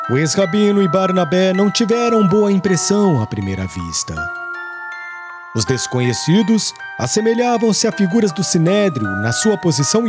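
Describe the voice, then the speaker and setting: A man narrating a religious audiobook in a solemn, formal storytelling style. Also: fairly high pitch. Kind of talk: scripted literary religious narration. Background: glockenspiel-like music.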